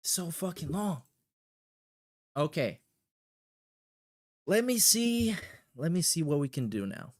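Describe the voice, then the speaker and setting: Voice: mid-range voice, sing-song, slow pace, pauses often, darkish tone. A livestream host chatting casually and reacting in a blunt, heavily profane way.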